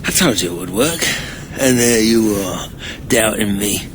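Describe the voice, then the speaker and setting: An actor playing a stubborn, cocky dwarf sidekick in a video game, with a blunt, informal, cheeky way of talking. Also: male voice, lowish voice, unhurried, background noise, lightly acted. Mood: smug, then sheepish. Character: scrappy, cocky, stubborn male dwarf companion. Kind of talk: video game cutscene acting.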